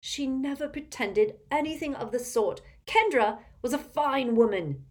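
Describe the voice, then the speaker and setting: Woman playing a wheezy, indignant elderly gentleman. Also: high voice, lively intonation, a little slow, voice pushed up. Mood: desperate, indignant. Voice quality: wheezy, breathy. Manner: stiff, wounded, earnest.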